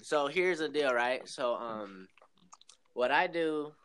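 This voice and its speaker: A man's voice: mid-range voice, animated, takes it slowly, a bit bright, slight background noise. An eighteen-year-old man chatting casually on a call, laid-back and slangy, saying "bro" and "like" a lot. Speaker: shoe reseller, host of the call. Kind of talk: casual chat.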